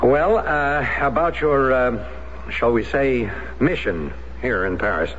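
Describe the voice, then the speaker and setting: An actor playing an evasive American consular official who talks hesitantly and diplomatically, full of fillers, in 1970s radio drama style. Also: male voice, medium pitch, takes it slowly, fairly bright tone. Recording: muffled lo-fi radio broadcast with hiss.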